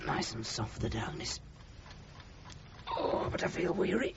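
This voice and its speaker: An actor playing a weary, self-conscious, easily irritated young husband in a 1970s radio drama. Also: male voice, medium pitch, sing-song, measured pace, gravelly. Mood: weary, tender. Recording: dull, noisy old radio recording.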